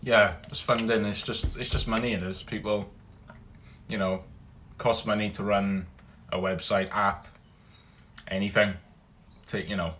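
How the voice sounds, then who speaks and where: A young Welsh man hosting a casual livestream, greeting viewers by name and chatting loosely, loud when he greets people and low and mumbled when he muses. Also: steady tone, unhurried, pauses often, a little hiss or hum. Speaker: livestream host. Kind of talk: casual livestream chat reading comments.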